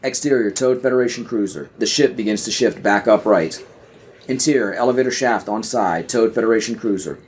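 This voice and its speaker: A man's voice: lowish voice, fairly quick, darkish tone, slight background noise. A man narrating an audio play in a scripted, screenplay-reading style.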